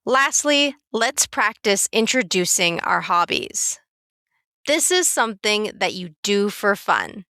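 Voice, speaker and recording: Slow, bright-voiced woman teaching English. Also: medium pitch, no echo, clean studio sound. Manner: clear, careful, instructive delivery. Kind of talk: slow scripted beginner lesson.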